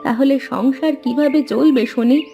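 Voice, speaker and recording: A woman's voice: high voice, measured pace, creaky, dark tone, muffled recording, faint music behind. A woman playing an anxious, plaintive old village mother in a fairy-tale cartoon. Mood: questioning.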